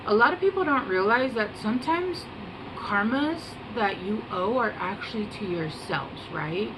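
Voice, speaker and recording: A woman giving a casual tarot reading to camera, soft-spoken and meandering, with many pauses and fillers. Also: mid-range voice, expressive. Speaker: tarot reader. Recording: home recording with air-conditioner hum.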